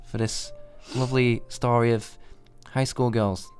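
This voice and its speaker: A man's voice: medium pitch, flat delivery, a little slow, darkish tone, clear, resonant tone, soft background music. A man hosting a gaming video with casual, joking, playful commentary. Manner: playful, jokey.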